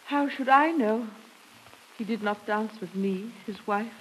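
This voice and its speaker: An actress playing a fragile, anxious young newlywed wife in a 1950s radio drama, plaintive and tremulous. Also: female voice, fairly high pitch, unhurried, slight background noise, lightly acted, rough recording. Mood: hurt, jealous.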